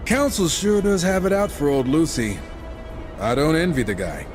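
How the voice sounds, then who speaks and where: Wry, lively gunslinger rogue. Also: male voice, on the high side, normal speed, music in the background. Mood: wry, teasing. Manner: wry, sarcastic, casual. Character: wisecracking, irreverent gunslinger horseman, cynical and roguish. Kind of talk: video game cutscene acting.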